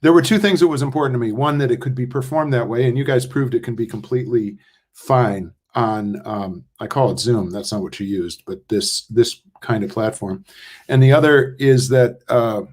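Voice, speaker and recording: An older man in a casual, reflective discussion as a playwright on a video call, halting as he thinks aloud. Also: mid-range voice, a little mellow. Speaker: playwright in a post-show discussion.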